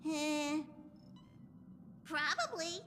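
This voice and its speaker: Teasing female game character, bright, swooping intonation, breezy delivery, noisy ambience. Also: high voice, expressive, extremely slow, big-room sound, heavily acted. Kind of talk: video game character dialogue.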